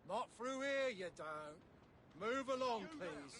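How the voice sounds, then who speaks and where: A man's voice: very high-pitched, expressive, unhurried, a bit bright, heavily acted. An actor playing a curt, commanding Victorian policeman with an English accent in a video game, heard distantly over street noise. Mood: stern, officious. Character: officious Victorian London policeman guarding an area. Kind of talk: video game guard barks.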